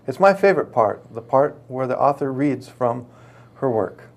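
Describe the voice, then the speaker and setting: A man's voice: medium pitch, expressive, normal speed. A man hosting a casual book interview segment. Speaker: host.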